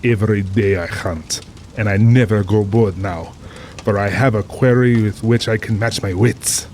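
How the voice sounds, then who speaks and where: An actor playing an urbane, cold-blooded middle-aged general and big-game hunter, speaking formally with pompous, suave amusement in an audio drama. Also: male voice, on the low side, steady tone, normal speed, darkish tone, slight background noise, lightly acted. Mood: amused, proud.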